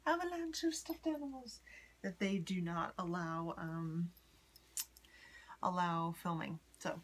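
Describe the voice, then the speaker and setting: A woman in her forties from the United States, rambling in a personal vlog with many ums and soft whispery asides. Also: fairly low pitch, takes it slowly. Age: 40s. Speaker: vlogger. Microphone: phone.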